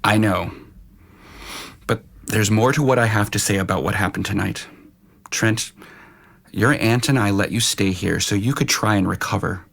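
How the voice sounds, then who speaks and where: A man playing a stern family patriarch in an audio drama, with a low, gravelly voice. He is protective and middle-aged, and speaks in firm, measured reprimands. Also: fairly bright tone. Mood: stern, reproachful.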